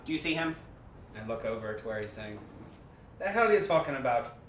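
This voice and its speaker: A man's voice: mid-range voice, sing-song, brisk, a bit bright, a little hiss or hum. A man playing a tabletop role-playing game with casual in-character banter. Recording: echoey room.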